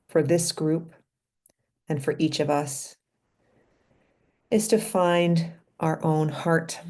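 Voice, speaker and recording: Female meditation guide: low, soft-edged mellow tone, gentle and unhurried delivery in short, spaced phrases. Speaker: meditation leader and physician.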